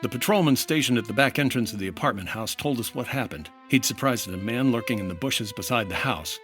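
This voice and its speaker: Casting: male actor as earnest private investigator, animated yet dry, narrating his case like classic fifties radio drama. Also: mid-range voice, fairly quick, soft background music, close to an everyday voice. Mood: matter-of-fact narration. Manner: clipped, dry detective narration. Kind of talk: 1950s radio detective acting.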